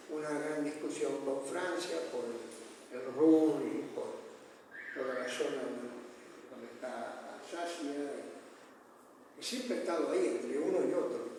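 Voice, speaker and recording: A man's voice: fairly high pitch, darkish tone, a little hiss or hum. A man presenting his book to an audience, telling history in a rambling way, correcting himself and using many fillers. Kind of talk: public book talk. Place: lecture hall. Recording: echoey hall from far off, faint ambience.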